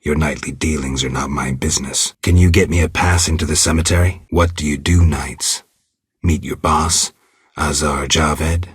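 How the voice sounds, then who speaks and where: A gruff, sardonic mutant monster hunter from a video game, speaking in an extremely low, heavily rasping voice. He is terse and deadpan, with dry cynicism, and the sound is close and very dry. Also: no echo, heavily acted. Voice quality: gravelly, husky. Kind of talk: video game character dialogue.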